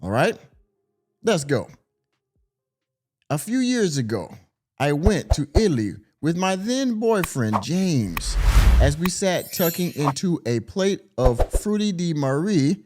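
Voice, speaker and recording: A man's voice: fairly high pitch, a little mellow. A man, hosting a podcast, who reads an article aloud and breaks in with drawling, sarcastic commentary. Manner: drawling, sarcastic, stretches words for emphasis. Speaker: podcast host.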